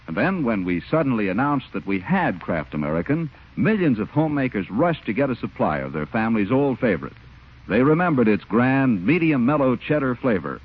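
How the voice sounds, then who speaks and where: A man's voice: mid-range voice, breathy edge. A man reading scripted announcements on 1940s radio in a polished, emphatic salesman's delivery. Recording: tinny, narrow-band 1940s radio recording.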